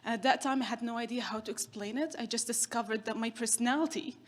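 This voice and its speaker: Chatty keynote woman, higher voice. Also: fast. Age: 30s. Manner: chatty, storytelling with self-deprecating humor. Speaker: keynote speaker. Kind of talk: conference keynote talk.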